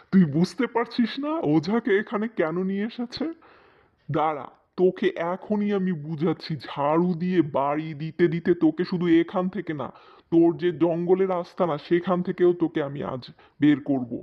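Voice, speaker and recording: A woman's voice: fairly low pitch, moderate pace, natural voice. A woman doing a blustering village exorcist, muffled sound. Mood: gloating threat. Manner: chanting, blustering, bossy. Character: boastful rhyme-chanting village exorcist, brash and threatening. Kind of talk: cartoon voice acting.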